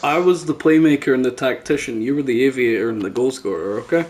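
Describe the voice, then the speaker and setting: A man's voice: medium pitch, quick pace, a little mellow. A man hosting a casual podcast, chatting and teasing his friends. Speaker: podcast host. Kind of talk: casual chat, teasing banter. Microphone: new microphone. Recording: clearly noisy background.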